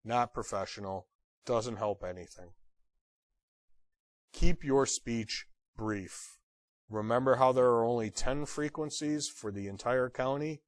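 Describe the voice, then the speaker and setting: A man giving an instructional lecture as a trainer, blunt and direct with wry humor and emphatic pauses on key phrases. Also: fairly low pitch, no room sound. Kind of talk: instructional lecture on radio procedure.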